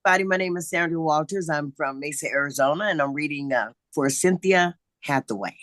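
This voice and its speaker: A woman with a slightly low voice talks quickly in casual chat. She is an actor on a video call, and her sound is dry. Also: fairly bright tone, no echo. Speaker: actor at a table read.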